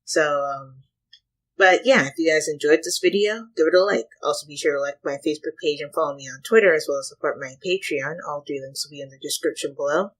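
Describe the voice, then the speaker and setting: A reaction host with a husky voice, commenting casually and thinking aloud in short, halting pieces. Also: medium pitch, fairly bright tone, poor audio.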